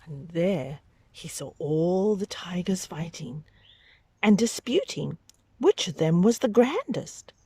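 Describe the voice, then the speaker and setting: A woman reading a picture book aloud to young children, with dramatic, drawn-out emphasis and hushed whispery moments. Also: lowish voice, very animated, slightly rough.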